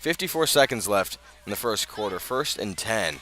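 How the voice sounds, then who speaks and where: Bright-voiced American man calling football play-by-play. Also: fairly low pitch, lively intonation. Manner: measured between plays, swelling on action. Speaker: radio play-by-play announcer. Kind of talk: live American football commentary.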